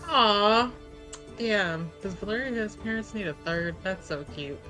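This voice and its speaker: A woman with a lively, swooping voice reacts to a roleplaying story. Her talk is casual, slangy and teasing, and music plays clearly behind her. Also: medium pitch, unhurried. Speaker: tabletop game player.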